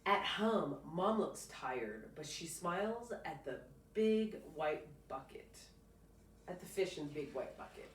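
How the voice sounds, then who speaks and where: A woman's voice: sits low, a little slow. A woman reads a children's picture book aloud expressively, stopping now and then for casual comments of her own. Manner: expressive, stops to comment informally. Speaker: storybook reader. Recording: roomy, distant mic, faint background noise.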